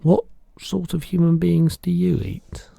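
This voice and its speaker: A man's voice: a little high, flat delivery, measured pace, vocal fry, warm tone, dry recording, clean studio sound, pitched above the performer's own voice, heavily acted. A man voicing a polite, plucky young girl from a storybook who asks short, curious questions. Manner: short inquisitive questions and replies.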